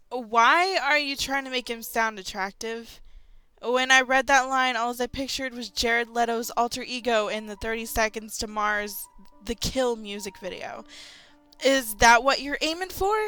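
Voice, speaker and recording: Young woman host, slightly nasal from a cold, bright and sharp, sarcastic story reader. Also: on the high side. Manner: sarcastic, exasperated. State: has a cold. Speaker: channel host reading horror stories. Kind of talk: story reading with mocking commentary.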